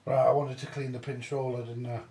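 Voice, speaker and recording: British bloke with a gravelly mellow voice muttering through a repair, bit echoey and off mic. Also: male voice, mid-range voice, level tone, fairly quick. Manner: muttering to himself, swearing mildly. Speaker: vintage audio repairer. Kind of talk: casual hands-on repair commentary. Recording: roomy echo, distant mic.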